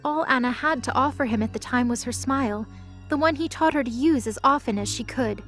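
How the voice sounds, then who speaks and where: A woman's voice: sits high, brisk, fairly bright tone, soft background music. A woman narrator reading scripted third-person narration for an audio drama.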